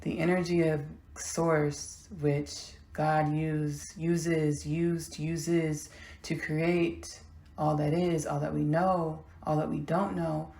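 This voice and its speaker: A woman from Texas, hosting a podcast and delivering a slow, halting spiritual monologue with long pauses. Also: deep voice, vocal fry, a little mellow, echoey room. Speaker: podcast host.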